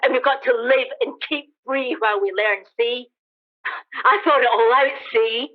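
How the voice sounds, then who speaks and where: A woman's voice: fairly high pitch, moderate pace, close to an everyday voice. A woman acting a cynical, defiant soldier survivor in a radio play, speaking in an emphatic, colloquial way. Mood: fierce, defiant. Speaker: amateur actor in a radio play. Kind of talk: dramatised radio play dialogue. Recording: thin phone-like band-limited sound.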